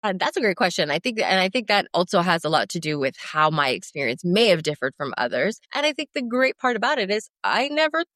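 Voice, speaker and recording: A woman's voice: lowish voice, very animated, fast talker, hardly any pauses, a bit bright, no room sound, clean studio sound. A woman in her forties, born in Afghanistan and raised elsewhere, answering interview questions in a reflective, thoughtful way. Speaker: guest.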